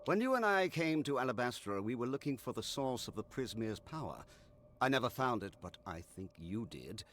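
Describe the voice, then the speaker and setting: A man's voice: mid-range voice, big pitch swings, normal speed, fairly bright tone. A man playing an intense, scholarly mage in a fantasy video game, articulate and dramatic, fascinated by powerful magic. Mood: ominous. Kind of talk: video game character dialogue. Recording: music behind the voice.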